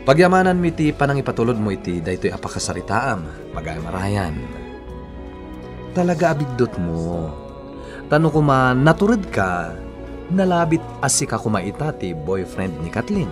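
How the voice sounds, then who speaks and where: A man's voice: mid-range voice, sing-song, normal speed, pauses often. A man with an Ilocano accent hosting a radio drama, giving scripted narration and advice to a listener. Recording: loud-ish music bed behind voice.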